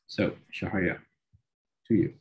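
A man's voice: unhurried, a little mellow, a little hiss or hum. A man acting as moderator, giving a brief, formal introduction of the next panelist over a video call.

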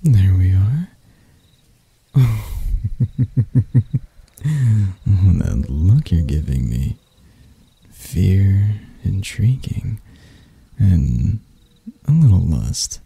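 A man voicing a sadistic, seductive male spider-elf predator in intimate ASMR roleplay, breathy and teasing with his endearments. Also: low-pitched, sing-song, mellow, no room sound, exaggerated voice. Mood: amused menace. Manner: slow, teasing, purring endearments.

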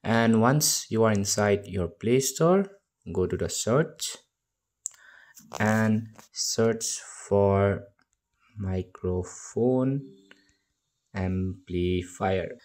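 A man narrating a casual step-by-step tech tutorial in a halting way, often checking in with the listener. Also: on the low side, darkish tone.

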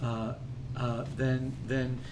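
A man's voice: medium pitch, flat delivery, extremely slow, noisy background. An older man leading a ministry, talking earnestly and reflectively in a casual podcast discussion. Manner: earnest, reflective, speaks in long thoughtful runs. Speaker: ministry leader.

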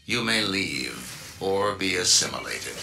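A man voicing a cold, arrogant alien android conqueror in a video game, speaking in a clinical, measured, imperious way. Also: low voice, expressive, gravelly, exaggerated voice. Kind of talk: video game villain acting. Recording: loud music behind.

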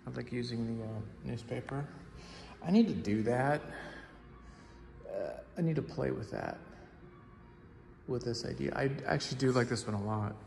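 A man giving low-key, casual commentary as an artist walking through an art gallery, often trailing into murmured asides. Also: vocal fry. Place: large indoor art gallery with hard floors.

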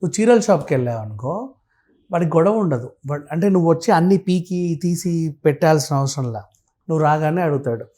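A man's voice: fairly high pitch, lively intonation, a little fast, dark tone. A man with a Telugu accent talking casually and explaining things through everyday examples and lists, mixing in English words.

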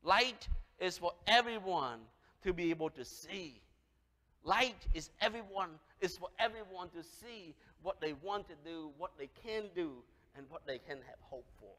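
A man in his thirties with a Cambodian accent, preaching a lively sermon with big swings from loud calls to soft words. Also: high voice, unhurried. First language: Khmer. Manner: animated, gesturing, swings from loud exclamation to soft. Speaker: pastor. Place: church sanctuary, large, indoors. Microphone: headset. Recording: long hall reverb.

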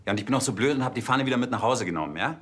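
An actor playing a defensive, indignant murder suspect protesting in a crime comedy. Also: male voice, medium pitch, lively intonation, rapid-fire, noisy background, close to an everyday voice.

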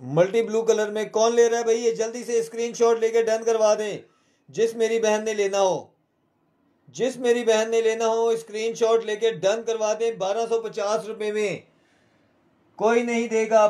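A man's voice: high-pitched, fairly even intonation, moderate pace, darkish tone. A man with a Pakistani accent hosting a live shopping show, selling in a repetitive, urging salesman's manner.

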